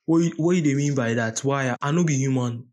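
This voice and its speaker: A man with a Ghanaian accent and a husky voice playing a defensive, resentful teenage son from a poor home in an audio drama. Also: medium pitch, a little fast, darkish tone, close to an everyday voice. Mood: casual, defensive. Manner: sullen, defensive.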